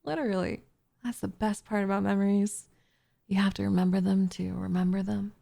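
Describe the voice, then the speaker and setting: A woman's voice: mid-range voice, steady tone, a little fast, creaky, dark tone. A woman voicing a doting, possessive witch in an intimate ASMR roleplay, cooing and teasing with smug condescension, often slipping into a whisper close to the microphone. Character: doting, possessive young witch turning captive into pet cat.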